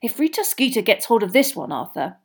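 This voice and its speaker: A woman voicing a flustered middle-aged male official, talking urgently and fast. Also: a little high, very animated, slightly rough.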